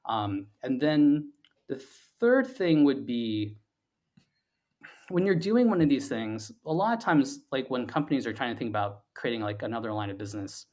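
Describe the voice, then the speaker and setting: Man explaining thoughtfully, haltingly, in an interview. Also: medium pitch, big pitch swings, darkish tone. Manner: explanatory, halting with repeated words and hedging "like". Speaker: startup founder being interviewed as guest. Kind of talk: reflective interview conversation.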